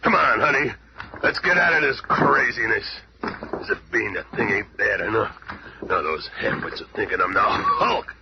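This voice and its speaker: An actor playing a gruff, short-tempered rocky monster hero with gravelly, slangy, blustering talk in a 1970s radio drama. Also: male voice, fairly low pitch, huge pitch swings, moderate pace. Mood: indignant. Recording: noisy lo-fi 1970s radio recording.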